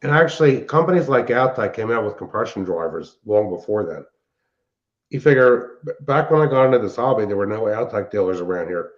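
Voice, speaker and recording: A man's voice: fairly low pitch, lively intonation, fairly quick. An older man chatting casually and technically, explaining things in a rambling way as a show host and hobbyist. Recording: dull, band-limited sound.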